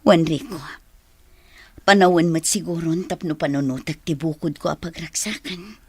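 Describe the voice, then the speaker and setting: An actress playing a determined, longing mother in a radio drama, with a husky breathy voice and an Ilocano accent. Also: low-pitched, normal speed, no echo. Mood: resigned, yielding. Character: determined, longing mother seeking her lost child.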